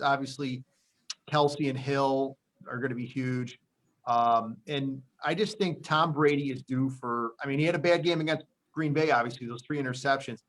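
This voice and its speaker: A man's voice: mid-range voice, fairly bright tone, rough recording. A man hosting a sports preview show, giving his commentary in a conversational way. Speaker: sports show host and pundit.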